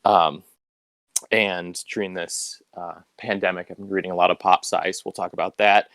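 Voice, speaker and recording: A young man hosting a casual, unscripted podcast, rambling a little as he thinks out loud. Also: low voice, level tone, normal speed, gravelly, no echo. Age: young adult. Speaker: podcast host.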